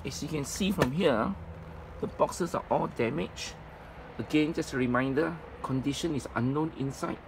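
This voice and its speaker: A man giving an informal, halting item-by-item walkthrough as a presenter at a storage unit. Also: vocal fry. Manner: halting, piecemeal delivery. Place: storage facility, at open unit. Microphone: handheld phone camera, speaker behind it. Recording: noisy handheld recording, traffic-like rumble.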